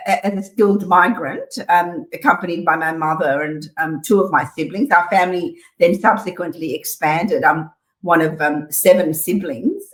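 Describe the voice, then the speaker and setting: A middle-aged woman from Sri Lanka, talking as a guest over a video call from her office, chatty with frequent um fillers and laughs. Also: on the low side. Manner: warm, chatty, laughs often, many um fillers. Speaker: guest, community organisation CEO. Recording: echoey room sound.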